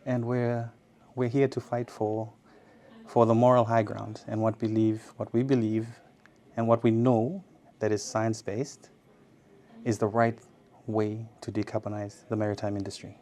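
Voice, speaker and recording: A man campaigner giving an earnest, halting statement in an interview. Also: fairly low pitch, level tone, warm tone.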